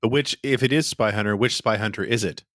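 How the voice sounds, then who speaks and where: Quick-talking low-voiced man. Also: fairly bright tone, no room sound, studio quality. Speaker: podcast panelist. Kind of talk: casual chat.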